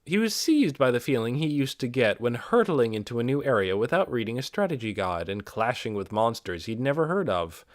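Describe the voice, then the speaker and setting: A man reading a fantasy novel aloud as scripted audiobook narration, with expressive storytelling delivery. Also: mid-range voice, a little fast, hardly any pauses, no room sound, studio quality. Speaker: amateur audiobook reader.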